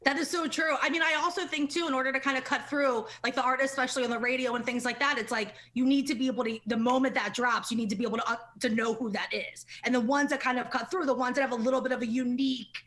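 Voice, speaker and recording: A woman's voice: high voice, fairly even intonation, fast, few pauses, fairly bright tone. A woman hosting a casual interview show, speaking in a chatty, informal and affirming way.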